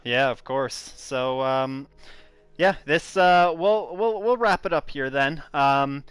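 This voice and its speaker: Guy hosting sim racing coverage, smooth clear voice, lots of uhs as he signs off. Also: mid-range voice, takes it slowly, a bit bright, dry recording. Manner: hesitant, filler-heavy, friendly sign-off delivery. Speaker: esports race commentator. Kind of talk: broadcast race commentary wrap-up.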